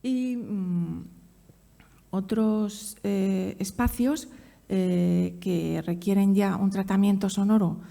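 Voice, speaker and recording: Female academic presenter, gravelly and very mellow, middle pitch, hesitant explanatory delivery. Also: steady tone. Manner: halting, searching for words. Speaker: university professor, conference speaker. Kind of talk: academic presentation, loosely scripted.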